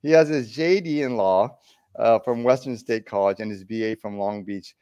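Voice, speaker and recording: A man's voice: lowish voice, moderate pace, dark tone, clear, resonant tone. A man hosting an interview, enthusiastic and eager as he introduces his guest and asks questions. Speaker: podcast host.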